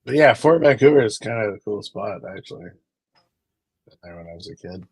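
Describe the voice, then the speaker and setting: A man's voice: lowish voice, animated, brisk, husky, no echo. A man chatting on a video call, rambling into stories and repeating words as he goes. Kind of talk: casual chat.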